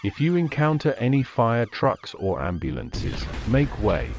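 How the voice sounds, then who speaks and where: A man reading a scripted emergency alert in a flat, formal announcer manner. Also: mid-range voice, measured pace, noisy background.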